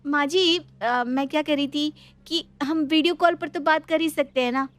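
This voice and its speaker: A woman puts on a young bride from a family story, eager and easily flustered. Her voice rides high and she chatters in questions. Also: normal speed, close to an everyday voice.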